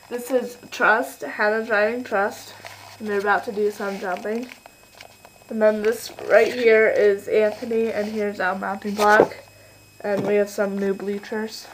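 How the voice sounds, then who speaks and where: A young woman giving a casual home video tour, halting and full of fillers, filmed on a handheld camera in her bedroom. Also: medium pitch, background noise. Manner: chatty, filler-heavy, halting. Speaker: channel owner giving a toy stable tour. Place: bedroom with toy horse stables.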